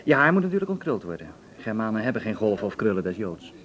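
Pushy low-voiced wartime film producer. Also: male voice, sing-song, fast, a bit bright. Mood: persuasive. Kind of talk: Dutch radio drama acting.

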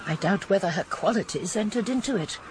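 An actress playing a shrewd, genteel elderly English spinster sleuth in a British radio drama, speaking precisely. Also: female voice, mid-range voice, lively intonation, a little fast, darkish tone, close to an everyday voice, rough recording. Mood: shrewd, gossipy. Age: older.

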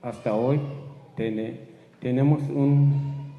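A man whose first language is Tzotzil, giving a halting public address in his second language. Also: medium pitch, fairly even intonation, echoey room, long reverb tail, narrow, tinny audio. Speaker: community speaker.

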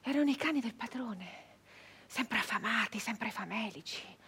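A high-voiced, fierce peasant woman of the 1800s turned brigand, bitter and haunted. She delivers a theatrical monologue with emphatic, incantatory phrasing, as in a stage or radio drama. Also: lively intonation, moderate pace, slightly rough, full character voice. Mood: grieving, tense. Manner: emphatic, incantatory repetition, swinging from hushed to loud. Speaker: actress performing a monologue.

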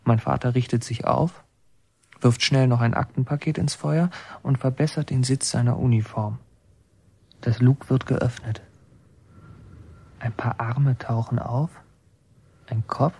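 A man's voice: mid-range voice, steady tone, a little slow, gravelly, pauses often, darkish tone, breathy edge. A man acting as a watchful son who narrates his childhood in a literary radio drama. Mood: observant, restrained. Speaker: first-person narrator.

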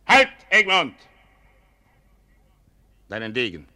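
Stern, sharp-voiced commanding nobleman. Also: mid-range voice, huge pitch swings, full character voice. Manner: imperious, formal, measured stage diction. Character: stern, cold, authoritarian governor, a commanding nobleman. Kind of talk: classical German radio play acting.

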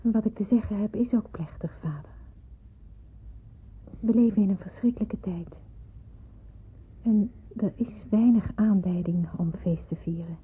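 A devout young daughter in a wartime radio play. Her voice is very husky and breathy, and she speaks with solemn, measured earnestness. The old recording sounds thin and phone-like. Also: mid-range voice. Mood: solemn, hopeful. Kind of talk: radio drama narration.

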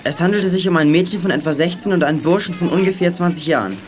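A male actor playing a brisk, practical boy detective in a children's radio play, matter-of-fact and decisive. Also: fairly high pitch, faint music behind, natural voice.

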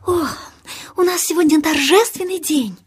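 A woman's voice: very high voice, moderate pace. A woman playing a young, modest, gracious butterfly in a children's audio play, speaking in a polite, coquettish way. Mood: amused, kindly. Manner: polite, coquettish, softly giggly.

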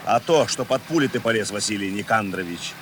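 An actor playing a stern, weary police chief of about forty in a radio play, speaking curtly with dry irony. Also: male voice, fairly low pitch, expressive, a little fast, a bit bright. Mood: sardonic scolding. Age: 40s. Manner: curt, dry, sardonic, commanding. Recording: faint vehicle engine noise behind.

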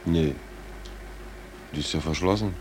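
A deep-voiced, laconic ship's hand in a 1940s radio drama. He talks tersely and plainly, with wry sarcasm. Faint noise runs through the vintage recording. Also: a little slow, darkish tone. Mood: curious. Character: laconic, wry merchant seaman, the shipmate. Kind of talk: 1940s German radio play acting.

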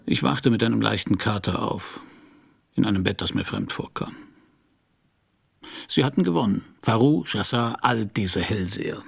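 Husky, gravelly world-weary private eye. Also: male voice, on the low side, animated, moderate pace, pauses often, exaggerated voice. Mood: wry, reflective. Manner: laconic, dry. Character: world-weary male private detective narrating his own case. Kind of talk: radio crime drama narration and dialogue.

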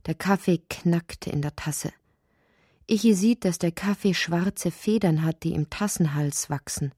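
An actress narrating a strange, inward literary radio drama in deliberate, short emphatic phrases. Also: female voice, lowish voice, dark tone, slightly rough, dry recording, studio quality. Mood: intense, absurd. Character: woman narrator of a strange inward dreamlike tale.

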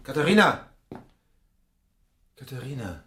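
An actor playing a middle-aged police inspector in a radio drama, terse, earnest and brooding. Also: male voice, medium pitch, very animated, a little slow, fairly bright tone, a little hiss or hum. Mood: pleading. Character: brooding male police inspector, lovelorn, dutiful. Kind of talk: German radio drama acting with first-person narration.

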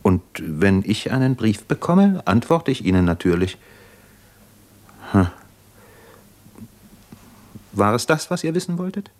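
A weary, proud intellectual man speaks in a low-pitched voice in the manner of 1950s radio drama. He is deliberate and reflective, setting his phrases apart with meaningful pauses. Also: big pitch swings, a little mellow. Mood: reflective, subdued.

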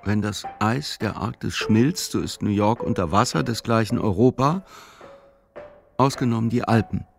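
A man narrating a literary radio play in a measured, sober, reflective way. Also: lowish voice, gravelly, soft background music.

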